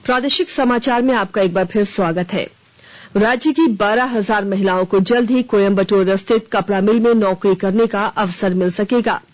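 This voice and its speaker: A woman's voice: mid-range voice, normal speed, no echo. Woman reading radio news, dull dry sound. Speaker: news reader. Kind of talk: formal scripted radio news bulletin. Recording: dull, band-limited radio broadcast.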